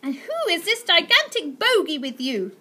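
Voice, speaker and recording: A woman's voice: very high-pitched, very animated, normal speed, bright, forceful tone, voice pushed up, heavily acted. A woman voicing a greedy, cat-obsessed rich old aunt who sneers and bellows threats. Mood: furious.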